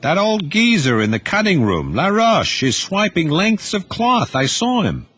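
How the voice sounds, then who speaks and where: A man voicing a brash, scheming teenage boy in an audio drama, raising his voice high and pushy. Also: wildly swooping pitch, measured pace, a bit bright, husky, exaggerated voice. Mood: indignant.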